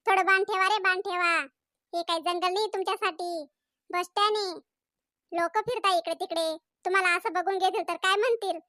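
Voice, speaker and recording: A woman voicing a teasing, sharp-tongued young village girl in a cartoon comedy, who mocks and calls people names. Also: very high voice, lively intonation, unhurried, long pauses, no echo, studio quality, exaggerated voice. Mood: scolding. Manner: mocking, name-calling.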